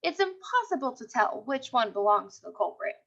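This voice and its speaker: A woman's voice: on the high side, big pitch swings, brisk, creaky, a bit bright, exaggerated voice. A woman voicing an earnest, precise schoolboy detective in a storybook, in a serious, matter-of-fact way. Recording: dull, lo-fi sound.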